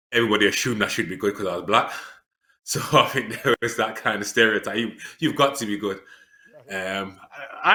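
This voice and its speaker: A man's voice: on the low side, pushed and bright. A man, speaking earnestly as an interview guest, with frequent fillers and restarts. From England. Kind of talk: reflective conversational interview.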